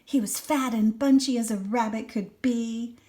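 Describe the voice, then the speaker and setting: An older woman, a grandmother, reading a children's story aloud and adding gentle, chatty asides for a small child. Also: on the high side, measured pace.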